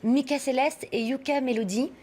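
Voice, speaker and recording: A woman with a slightly high voice hosts a talk show, putting questions to her guests. She leads smoothly from one guest to the next. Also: normal speed, darkish tone. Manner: leading questions, smooth transitions.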